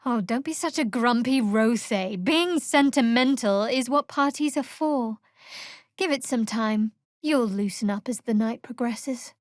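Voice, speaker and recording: A woman playing a guarded, sardonic young female cleric of a dark goddess in a video game, speaking in a measured, wry way. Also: fairly high pitch, no echo, clean studio sound, natural voice. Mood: teasing.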